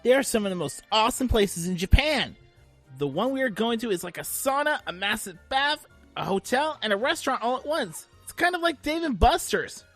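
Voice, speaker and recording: A woman's voice: on the high side, sing-song, fairly quick, soft background music. Woman playing a brash know-it-all teen girl. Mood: excited. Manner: brash, enthusiastic explaining.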